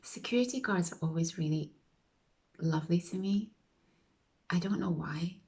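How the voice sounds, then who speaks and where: A woman talks casually to her viewers in a mellow, darker voice that sits a little low. She rambles and corrects herself as she goes, often sinking into a near-whisper. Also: moderate pace. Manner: chatty, self-correcting, often drops to a whisper. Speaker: vlogger.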